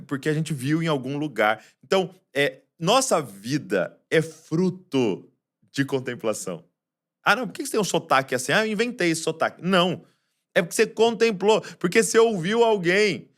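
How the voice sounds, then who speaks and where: A man preaching a Christmas sermon, shifting between soft, low passages and loud, raised ones, full of rhetorical questions. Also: very animated, a little fast.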